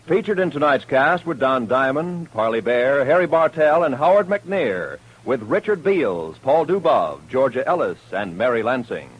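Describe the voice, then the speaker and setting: Casting: man as old-time radio announcer; husky, very animated, formal scripted read. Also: mid-range voice, normal speed, hardly any pauses, poor audio. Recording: old 1950s radio recording.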